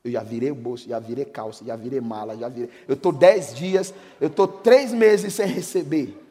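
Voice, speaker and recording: A middle-aged man with a Brazilian Portuguese accent, a pastor giving a sermon with personal testimony. He moves between hushed storytelling and shouted preaching. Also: mid-range voice, moderate pace. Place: church hall. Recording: echoing hall, long reverb.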